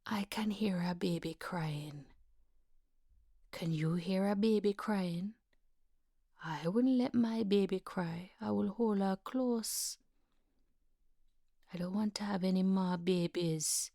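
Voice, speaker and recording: A woman playing a frightened, confused, worn-down mother in a dramatic monologue, hushed and halting. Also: medium pitch, dry recording, studio quality. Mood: anxious. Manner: halting, hesitant, repeating herself, wandering.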